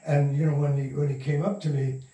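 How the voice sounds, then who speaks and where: An older man telling anecdotes casually as an interview guest, in a rambling, halting way, recorded in a roomy space. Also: on the high side, level tone, dark tone. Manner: rambling, halting, chuckling raconteur. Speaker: interview guest, radio producer and sound recordist. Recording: roomy echo, distant from mic.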